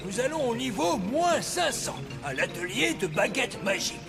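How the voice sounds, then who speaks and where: Old cartoon elf, wise, authoritative, deliberate, swooping intonation, music behind. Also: male voice, very high voice, sing-song, vocal fry, full character voice. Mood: commanding. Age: older. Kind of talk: French cartoon dubbing.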